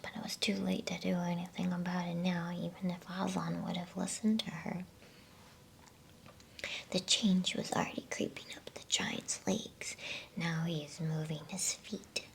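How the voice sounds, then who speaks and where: A woman reading a bedtime story as ASMR in a soft, hushed, unhurried whisper. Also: on the low side, level tone, gravelly, slight background noise.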